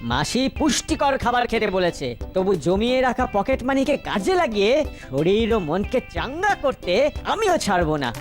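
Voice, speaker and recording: Crafty food-loving cartoon boy, high, animated, dramatic delivery, faint music underneath. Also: normal speed. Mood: torn, eager. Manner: dramatic, rhyming wordplay. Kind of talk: children's cartoon dubbing.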